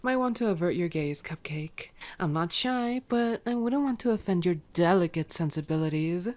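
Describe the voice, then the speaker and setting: A woman's voice: fairly low pitch, expressive, moderate pace, darkish tone, close to an everyday voice. A woman playing a sarcastic, teasing female vampire in an ASMR roleplay audio drama, playful and exasperated.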